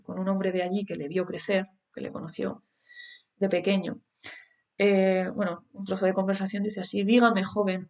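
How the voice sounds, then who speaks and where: Young woman, academic talk, hesitant, mid pitch. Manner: hesitant, with fillers and restarts while explaining. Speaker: academic presenter. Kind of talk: conference talk reading quotations.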